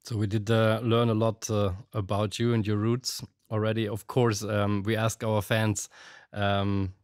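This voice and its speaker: A man hosting a podcast, asking questions in slightly halting English with a friendly manner. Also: on the low side, little pitch movement, a little mellow, smooth, no echo, studio quality. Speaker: podcast host. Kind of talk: interviewer questions.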